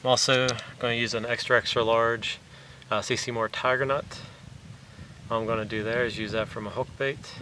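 A man's voice: mid-range voice, normal speed. Guy in his 30s doing a bait how-to by the lake, laid-back, kinda far from the cam, windy noise behind. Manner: relaxed, plain step-by-step explaining with fillers. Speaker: fishing vlogger. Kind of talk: casual how-to demonstration. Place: outdoors on a lakeshore. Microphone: camera mic from a few meters away. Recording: distant outdoor camera audio with wind noise.

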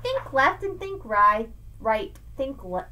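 High, lively woman teacher reading to kids. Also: slow. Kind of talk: read-aloud lesson for children, rhyming picture book. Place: small room at home with bookshelves, indoors. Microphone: webcam at arm's length.

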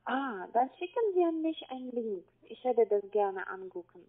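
A woman with a foreign accent speaks over a narrow, tinny phone line. She is a call-centre caller, insistent in manner, piecing sentences together in halting non-native phrasing. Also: fairly high pitch, expressive. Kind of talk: phone conversation.

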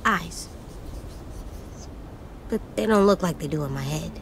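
A woman's voice: lowish voice, animated, measured pace, background noise. A woman playing a serious, determined little boy in a video game drama, blunt and childlike in delivery. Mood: friendly, earnest.

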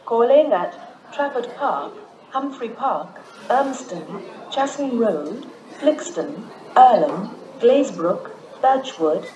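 A British woman makes formal automated train station announcements. She speaks slowly, in measured, pieced-together phrases. The sound is echoey, with clear noise behind her. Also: on the high side, animated, darkish tone, dull audio. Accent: British English.

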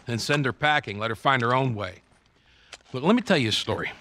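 A gruff middle-aged American survivalist, in a cinematic game. His voice is forceful and swings widely in pitch, with foul-mouthed sarcasm and a paranoid edge. Faint noise and clicks sit behind him. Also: lively intonation, a little fast. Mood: bitter, cynical. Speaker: game character actor.

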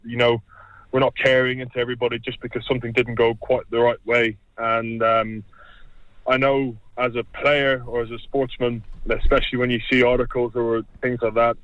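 Young Irish man, relaxed chat. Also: mid-range voice, dry recording, dull audio. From Ireland. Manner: relaxed, rambling, repeats himself. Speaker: rugby player, guest. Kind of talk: casual interview chat.

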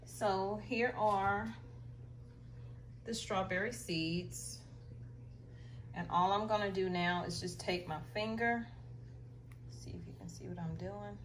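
A woman's voice: medium pitch, steady tone, slow pace, pauses often. An American woman giving a casual, folksy how-to demonstration as the host of a home gardening video. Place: small indoor room at home. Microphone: camera a short distance away. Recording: roomy, distant mic, faint noise.

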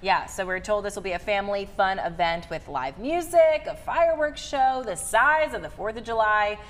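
Conversational female TV host, medium pitch. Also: lively intonation, moderate pace. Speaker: morning TV show host. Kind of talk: conversational live TV interviewing. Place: TV studio.

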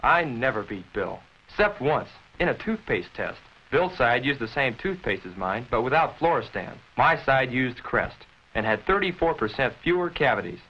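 An actor playing an earnest, sporty fellow giving a testimonial in a 1960s TV commercial, husky, on a vintage soundtrack. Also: male voice, medium pitch, big pitch swings, normal speed, hardly any pauses. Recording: dull vintage TV film soundtrack.

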